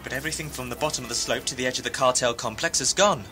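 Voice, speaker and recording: A man's voice: mid-range voice, rapid-fire, bright, forceful tone, soft background music, natural voice. A man playing an urgent, alarmed comrade in an audio drama. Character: urgent male comrade bringing alarming news. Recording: noisy hissing effects behind.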